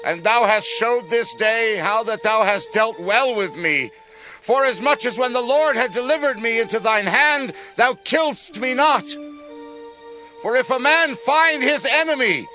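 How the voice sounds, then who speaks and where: A man's voice: very high-pitched, lively intonation, unhurried, pushed and bright, faint music behind, heavily acted. A man playing a remorseful, humbled king in a dramatized scripture recording, declaiming emotionally in archaic phrases.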